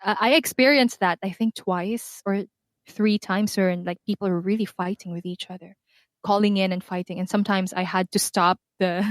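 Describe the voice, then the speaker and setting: Soft-spoken woman, dry and close. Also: medium pitch, steady tone, normal speed, dry recording. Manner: soft-spoken, hesitant, laughs lightly. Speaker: live room host.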